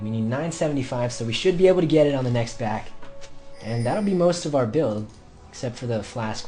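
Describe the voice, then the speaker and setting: A young man commentating his own online match, shouting through the fights and calm in between, his voice going hoarse. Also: medium pitch. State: losing his voice. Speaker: gameplay commentator. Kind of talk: excited gameplay commentary.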